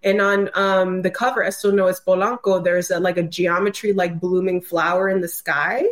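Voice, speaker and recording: A woman's voice: lowish voice, fairly even intonation, normal speed. A woman speaking as a podcast guest, explaining her ideas in a casual, loose, conversational way with many filler phrases. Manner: informal, loose, peppered with "like" and "you know".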